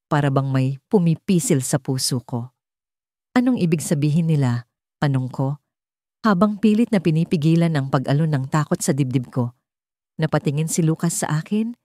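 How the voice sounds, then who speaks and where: Low-pitched, mellow woman storyteller. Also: no room sound, clean studio sound. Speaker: story narrator. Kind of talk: scripted first-person dramatic narration of a romance story.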